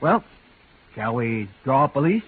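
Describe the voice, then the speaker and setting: An actor playing a brisk, folksy real estate agent in a 1950s radio drama, talking in clipped phrases. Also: male voice, mid-range voice, very animated, noisy background. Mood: matter-of-fact. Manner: clipped, folksy, dropping subjects. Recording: vintage radio, narrow band, some phone lines.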